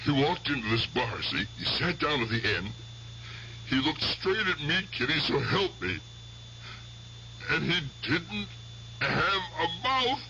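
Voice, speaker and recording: An actor playing an agitated, fearful older American gangster uncle in a radio drama. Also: male voice, fairly high pitch, sing-song, measured pace, pauses often, sharp tone, exaggerated voice. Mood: insistent, pleading. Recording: dull vintage radio recording, hum and hiss.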